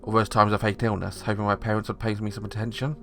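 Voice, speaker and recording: A man's voice: on the low side, level tone, quick pace, vocal fry, soft background music. A man reading the narration of a visual novel aloud, steadily, with small slips.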